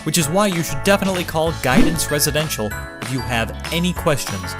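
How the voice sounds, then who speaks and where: Smooth ad announcer, lively pitch, music playing under it. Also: fairly quick. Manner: smooth promotional delivery. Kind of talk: ad voice-over. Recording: voice-over with background music.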